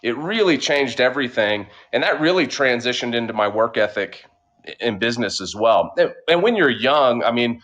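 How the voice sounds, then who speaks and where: A man's voice: mid-range voice, moderate pace, muffled recording. Drawling Oklahoma man telling his story. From Oklahoma, USA. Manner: relaxed, drawls some words, frequent fillers. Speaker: podcast guest, entrepreneur. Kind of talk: casual interview storytelling.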